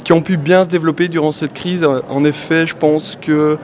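A man with a Belgian French accent, answering an interviewer as a company guest. He talks with enthusiasm and a sales pitch, with many "euh" fillers. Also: fairly even intonation, a little fast, darkish tone. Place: event venue, among people. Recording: clear background noise.

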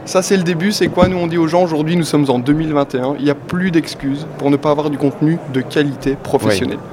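A man with a Belgian French accent pitches eagerly, pausing often on "euh" as he answers an interviewer's questions. His voice sits a little high for a man. Clear background noise runs behind him. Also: fairly even intonation. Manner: enthusiastic, salesy, frequent "euh" fillers. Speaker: company adviser, interview guest. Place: event venue, among people.

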